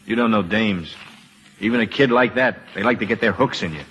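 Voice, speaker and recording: An actor playing a gruff, guarded war veteran, terse and halting, with a husky voice, in an old-time radio drama. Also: male voice, lowish voice, fairly bright tone, a little hiss or hum, natural voice. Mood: defensive. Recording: reverberant old radio broadcast.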